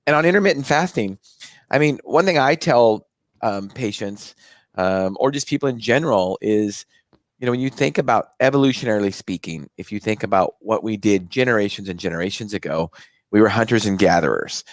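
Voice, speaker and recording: A man's voice: lowish voice, animated, brisk, dry recording. An American man hosting a health show, explaining things in a conversational, rambling way with repeated phrases. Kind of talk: conversational interview talk.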